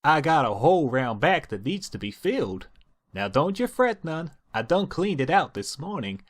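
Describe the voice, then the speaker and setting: Man putting on a folksy Southern farm mare, lively pitch. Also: moderate pace. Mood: coaxing. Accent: American Southern. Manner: folksy country drawl. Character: hard-working young female farm pony, folksy and bossy.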